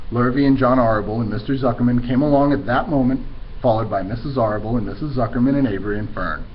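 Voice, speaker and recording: A man reading storybooks aloud as a teacher would, in an expressive narrating style. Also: mid-range voice, dull audio, a little hiss or hum.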